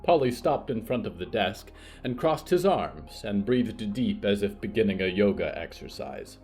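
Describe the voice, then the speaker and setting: A man narrating scripted horror fiction as host, in a theatrical, deliberate style. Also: fairly low pitch, very animated, a little mellow, faint music behind.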